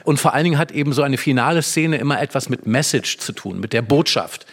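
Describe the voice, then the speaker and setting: A man with a medium-pitched voice speaks as a drama expert. His delivery is conversational and explanatory, like spoken analysis in a discussion. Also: animated, fast talker, husky. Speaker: drama expert commenting on a scene.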